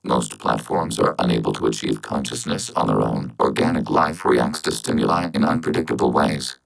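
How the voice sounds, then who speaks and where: A science fiction game robot with an extremely low, gravelly voice. It speaks in formal, measured phrases, calm and emotionless, through synthetic robotic processing. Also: male voice, soft background music, heavily acted, low-quality recording. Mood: detached, analytical. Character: calm logical robot of a networked machine collective. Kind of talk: scripted science fiction video game dialogue.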